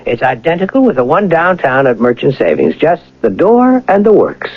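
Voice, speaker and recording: Young gentleman thief: courteous, articulate, lively inflection with some creak, noisy old radio sound. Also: fairly high pitch, moderate pace, narrow, tinny audio. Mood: suave, assured. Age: young adult. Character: polished, well-educated young gentleman bank robber, smooth and courteous. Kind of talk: 1980 radio drama acting.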